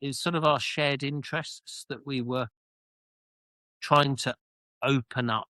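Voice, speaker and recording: A middle-aged British man telling his own story as an interview guest, reflective and halting, often repeating words as he searches for phrasing. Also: medium pitch, steady tone, bright, forceful tone, dry recording. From United Kingdom. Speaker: interview guest, author and former army officer.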